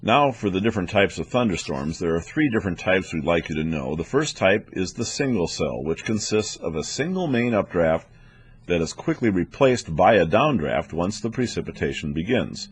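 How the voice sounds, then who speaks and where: A man with a low-pitched, slightly rough voice narrates training material. He explains methodically, like an instructor reading from a prepared script. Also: very animated, normal speed. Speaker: weather instructor for air traffic controllers.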